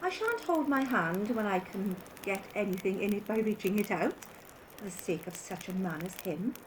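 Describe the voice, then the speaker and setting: A woman's voice: mid-range voice, animated, moderate pace, noisy background, lightly acted. An actress playing a brazen, callous scavenging woman in an audio drama. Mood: brazen, scornful.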